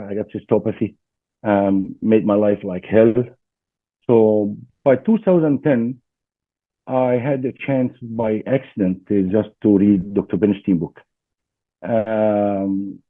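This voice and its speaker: A man from Egypt with an Egyptian accent, a guest in a casual interview, talking haltingly with many fillers over a video call. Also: fairly low pitch, no echo. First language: Arabic. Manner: hesitant, many fillers and restarts. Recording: dry tinny phone-like call audio.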